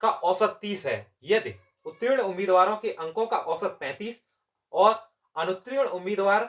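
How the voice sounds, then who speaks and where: A man's voice: high-pitched, expressive, moderate pace, fairly bright tone. A man teaching step by step as an exam maths coach, asking questions and answering them himself. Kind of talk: step-by-step lesson.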